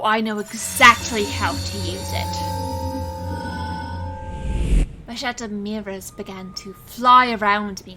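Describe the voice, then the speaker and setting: A woman's voice: a little high, pushed and bright. A woman playing a proud, intense stage illusionist who narrates her own magical story in a theatrical, earnest audio drama style. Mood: menacing, determined. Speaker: narrator and lead character. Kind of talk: scripted first-person audio drama narration.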